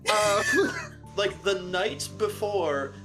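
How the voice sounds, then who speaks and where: A woman's voice: medium pitch, sing-song, extremely slow, low-quality recording. A woman chatting casually in a behind-the-scenes Q&A, wry, understated and self-deprecating. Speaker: story editor and cast member. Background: instrumental music, clearly heard.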